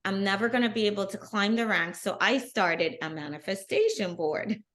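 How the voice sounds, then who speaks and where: A middle-aged woman, a guest on an interview podcast, telling her story in an earnest, animated way. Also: mid-range voice, moderate pace. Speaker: guest, bikini athlete. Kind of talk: casual interview.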